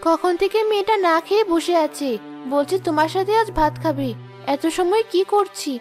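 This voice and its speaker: A woman voicing a poor, self-sacrificing village mother in a cartoon, earnest and gentle, with music behind. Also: very high voice, moderate pace. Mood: earnest, dutiful. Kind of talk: moral-story cartoon acting.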